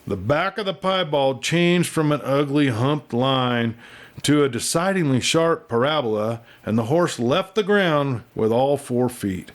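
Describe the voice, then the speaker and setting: A man reading a western novel aloud as an expressive, folksy storyteller. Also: mid-range voice, unhurried. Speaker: audiobook reader and storyteller.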